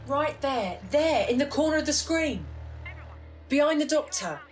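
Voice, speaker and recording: Actress as excitable high-voiced female TV viewer. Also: unhurried, slight background noise. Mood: alarmed. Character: excitable woman watching a spooky television show. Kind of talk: audio drama acting.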